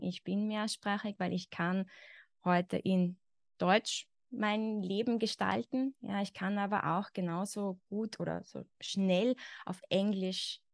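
A woman's voice: mid-range voice, vocal fry, no echo, clean studio sound. A woman in her thirties with an Austrian German accent, a guest expert talking reflectively in a podcast conversation and often saying "genau" and "ja".

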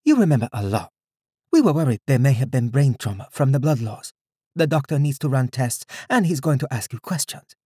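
Audiobook role: composed woman, higher register, firm yet soothing, keeps things back; male narrator performs her. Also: sing-song, brisk, mellow, husky, dry recording, studio quality. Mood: calm, reassuring. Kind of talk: audiobook character dialogue.

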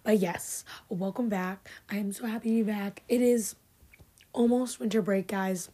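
A young woman in her twenties hosting a podcast, chatty and a bit sarcastic, her voice raspy from having just woken up. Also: mid-range voice, fairly even intonation, normal speed, warm tone. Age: 20s. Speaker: podcast host. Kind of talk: casual chat. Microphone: filmed podcast setup.

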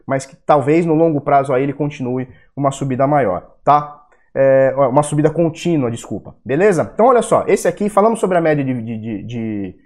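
A man's voice: a little high, a little mellow. A young Brazilian man with a Brazilian Portuguese accent, hosting a casual market-analysis video, blunt and profane, with heated rants. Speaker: crypto video host.

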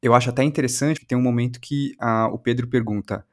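A man's voice: mid-range voice, steady tone, quick pace. A man with a Brazilian Portuguese accent interviewing in a conversational, analytical way, building his questions step by step. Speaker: interviewer, podcast host.

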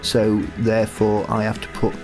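A man's voice: fairly low pitch, completely flat delivery, normal speed, a little mellow, soft background music. A man from the north of England chatting casually in an interview, with dry, teasing humour. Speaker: audio drama writer and sound producer.

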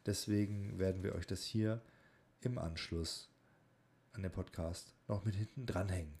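A man's voice: low voice, normal speed, a little mellow. A man co-hosting a podcast, chatting in an informal, bantering way. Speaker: podcast co-host; student in a speech training class. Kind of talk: casual podcast chat with tongue-twister game.

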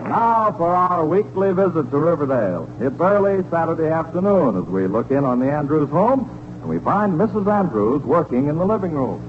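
A man announcing in formal, booming 1940s radio style, on a dull old recording. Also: sing-song, moderate pace, noisy background.

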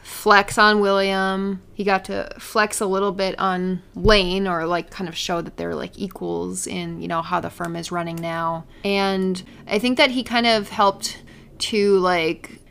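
A woman's voice: mid-range voice, steady tone, a little slow, vocal fry, a bit bright, clear, resonant tone. A woman chatting casually as co-host of a TV recap podcast. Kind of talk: casual chat.

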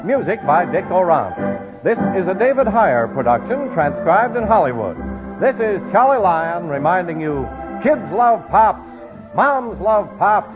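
High, animated American radio announcer, a man. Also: unhurried. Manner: emphatic sales delivery. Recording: tinny old radio recording. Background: music.

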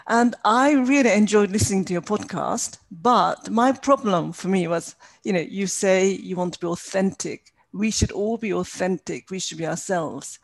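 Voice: mid-range voice, normal speed, creaky, few pauses. A podcast host interviewing a guest, pressing with follow-up questions.

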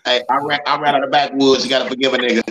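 A man's voice: mid-range voice, little pitch movement, racing. A man, bantering in a laid-back, boastful way as a guest in a casual chat. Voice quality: raspy. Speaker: guest, singer. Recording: roomy with background noise.

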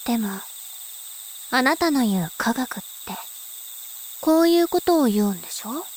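An actress voicing a calm, mysterious older sister who speaks softly and philosophically in a voice drama. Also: female voice, a little high, slight background noise, natural voice. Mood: musing. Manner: slow, soft, musing. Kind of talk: voice drama acting. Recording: faint insect and cricket ambience.

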